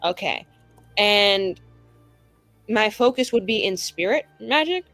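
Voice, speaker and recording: A woman's voice: medium pitch, animated, takes it slowly, fairly bright tone, no room sound, soft background music. A woman playing a mage in a tabletop game, chatting casually and swearing freely. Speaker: player.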